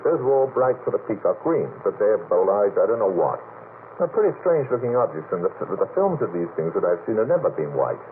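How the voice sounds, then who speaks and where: A man with a lowish, very animated voice argues as a guest on a late-night radio panel. He speaks emphatically, breaking off to restate his point. The old recording is narrow and phone-like, with some noise. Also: quick pace. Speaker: guest researcher of unexplained sky sightings. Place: radio studio.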